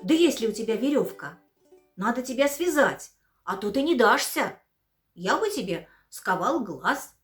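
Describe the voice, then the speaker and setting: Woman doing a crafty village blacksmith, calm and matter-of-fact, for a bedtime tale. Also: on the high side, unhurried, soft background music.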